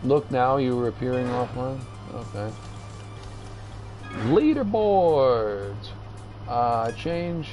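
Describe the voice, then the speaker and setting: A man streaming a video game with casual, rambling commentary, haltingly thinking aloud as he reads the menus. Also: medium pitch, dark tone.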